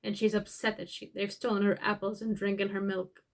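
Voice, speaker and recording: A woman's voice: mid-range voice, level tone, a little fast. A woman hosting a casual read-aloud storytime, making wry, slightly hesitant and apologetic asides. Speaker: storyteller and video host.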